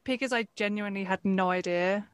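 A woman hosting an interview, speaking in a relaxed, candid and slightly hesitant way. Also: medium pitch, brisk, clear, resonant tone. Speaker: interviewer, host. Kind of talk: conversational interview.

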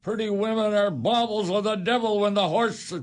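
An actor playing a stern, scornful old farmer who scolds and blusters in a community radio drama. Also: male voice, high-pitched, steady tone, moderate pace, natural voice.

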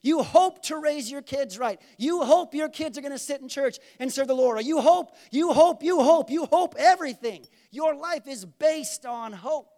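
A man preaching a sermon, repeating key lines for emphasis and swinging between loud bursts and quiet asides. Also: very high-pitched, expressive, moderate pace, few pauses. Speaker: pastor.

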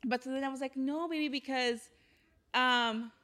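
A woman's voice: high voice, fairly even intonation, moderate pace, pushed and bright. An American woman co-hosting a podcast, explaining things through casual anecdotes and quoted dialogue. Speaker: podcast co-host.

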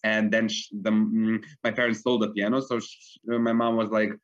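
A man's voice: on the low side, little pitch movement, moderate pace. A young man from Serbia, a pianist, talking earnestly in a casual interview and often saying "like". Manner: earnest, frequent fillers like "like". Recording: dull lo-fi recording.

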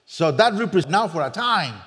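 Costa Rican man preaching slowly in a reverberant church. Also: high-pitched, animated, fairly bright tone. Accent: Costa Rican Spanish. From Costa Rica. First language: Spanish. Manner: self-deprecating, earnest, halting restarts. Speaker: guest preacher. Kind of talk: sermon. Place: church sanctuary.

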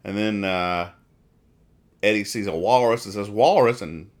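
A man's voice: on the low side, unhurried. A man hosting a podcast, casually describing and explaining comic strips in a rambling, mock-earnest way. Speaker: podcast host.

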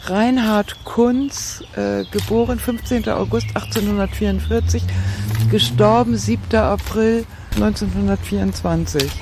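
A man, a cemetery gardener, reading out an inscription slowly and deliberately outdoors in a cemetery. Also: high-pitched, fairly even intonation, creaky, music in the background.

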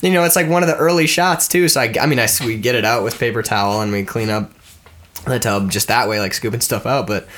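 A man's voice: mid-range voice, fast talker, a bit bright. A young man with a congested, stuffed-up voice, co-hosting a casual podcast and rambling through a story with laughs. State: congested from a cold. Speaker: podcast co-host. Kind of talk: casual chat.

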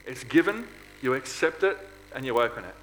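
A man's voice: medium pitch, animated, normal speed, fairly bright tone, soft background music, low-quality recording. A man preaching a sermon to a congregation in an earnest manner, often putting rhetorical questions to his listeners. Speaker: preacher at a church.

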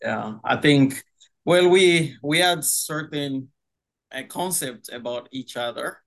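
A young man from the Democratic Republic of Congo, a pastor, telling his story on a video call, slowly and with many fillers. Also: mid-range voice, creaky, a bit bright. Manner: slow, hesitant with many fillers, laughs easily. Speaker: pastor, guest. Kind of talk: casual interview chat.

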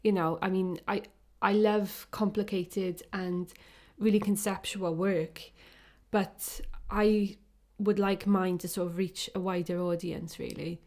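A woman's voice: medium pitch, steady tone, unhurried, darkish tone. A Welsh woman, a photographer, answering interview questions in a casual, informal way with frequent fillers. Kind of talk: conversational interview.

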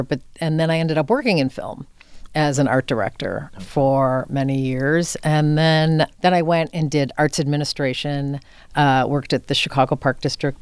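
A woman's voice: sits low, a little mellow, clean tone, no room sound. A middle-aged woman giving conversational interview answers as an arts director, reflective and candid. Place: inside a cinema theater space.

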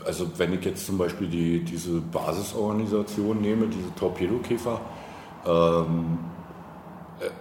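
A middle-aged man from East Germany giving reflective, conversational interview answers as a local politician, halting and searching for words. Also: low voice, steady tone, a little mellow. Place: indoor room, face-to-face over coffee. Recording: echoey room with faint background noise.

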